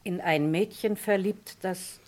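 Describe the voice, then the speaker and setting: A woman's voice: lowish voice, slow, darkish tone. An actress in a vintage German crime radio play playing a loyal, disdainful society lady who answers with dignified reluctance. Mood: reluctant, disdainful.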